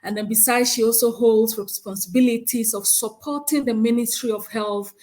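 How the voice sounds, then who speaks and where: A woman with a Ghanaian accent chairs a conference session and reads out a speaker's biography, with frequent hesitant pauses. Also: fairly high pitch, steady tone, darkish tone. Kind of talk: conference moderation, reading a speaker bio.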